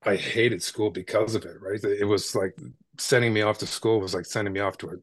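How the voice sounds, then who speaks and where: A man's voice: on the low side, fairly even intonation, brisk, no echo. A man from Canada, a podcast guest, telling his story in a casual, conversational way with frequent "right?" tags and small repeats.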